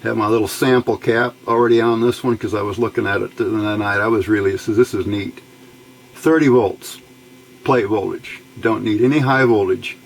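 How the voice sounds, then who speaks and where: Gravelly man thinking aloud at a workbench. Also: lowish voice, steady tone, moderate pace. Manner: informal, thinking aloud in short phrases. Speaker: electronics hobbyist presenter. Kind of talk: casual hands-on demonstration. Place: indoors at a workbench. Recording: noisy home-video recording.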